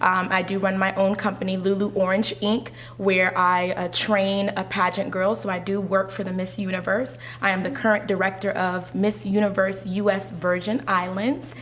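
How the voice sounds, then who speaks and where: A woman's voice: mid-range voice, flat delivery, normal speed, hardly any pauses, a bit bright, a little hiss or hum. A woman who is a pageant titleholder, chatting in a casual interview with lots of fillers and restarts. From Haitian descent.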